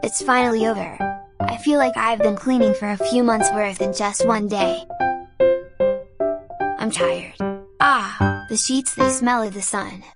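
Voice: medium pitch, a little slow, vocal fry, pauses often. A performer voicing a shy, earnest teenage boy recruit in an amateur comic dub, hesitant, polite and apologetic. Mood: weary, musing. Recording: loud music behind the voice.

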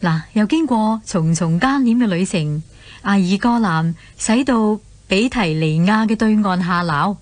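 Hong Kong woman narrating with medium pitch. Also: expressive, unhurried, no room sound. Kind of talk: dramatic storytelling narration.

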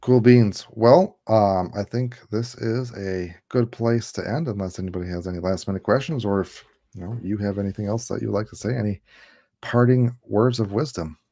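A man in his thirties hosts a casual online chat from a room at home, talking through a headset. His voice sits a little low, and he keeps the talk loose and jokey, laughing often. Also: normal speed, warm tone. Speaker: livestream host. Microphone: headset on a video call.

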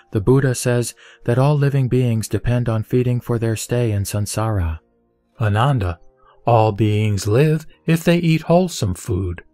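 A man's voice: medium pitch, darkish tone, no room sound. Man reciting scripture, dry sound over music. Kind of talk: scripted reading of a sutra. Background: background music.

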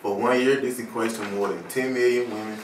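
A very echoey room frames a young man's voice, picked up from a little way off. He reads a scripted announcement at a middle pitch, as a performer presenting a stage trailer. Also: fairly even intonation, a little fast. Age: young adult. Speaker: cast member presenting a play trailer. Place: small living room, seated before camera. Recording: echoey room, distant mic, hiss.

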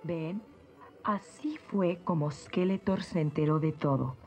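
A woman playing a wise, calm sorceress in a dubbed cartoon, composed and explanatory. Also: fairly low pitch, measured pace, close to an everyday voice. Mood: calm, explaining. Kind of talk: cartoon dub acting. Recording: dull lo-fi sound, faint music.

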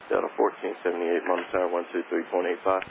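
A low-voiced man gives ground control instructions at a rattling speed, firm and matter-of-fact. His delivery is flat, over crackly radio. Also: fast, gravelly. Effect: aviation radio. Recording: noisy narrowband air traffic radio.

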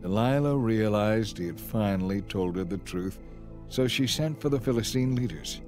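Lowish-voiced male Bible story narrator. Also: lively intonation, normal speed, a little mellow, faint music behind. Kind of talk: scripted dramatized Bible narration.

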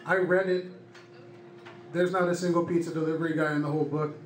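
A young man doing deadpan stand-up comedy in short one-liners into a handheld microphone on a club stage. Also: a little high, fast. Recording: echoey club room with loud noise. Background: loud noise, audience laughter.

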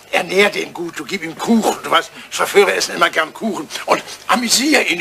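An older man, an actor playing an excitable, wistful old lord in love, theatrical and impulsive, in a radio comedy drama. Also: sits high, gravelly, heavily acted. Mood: flustered, excited. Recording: old radio play recording, faint noise.

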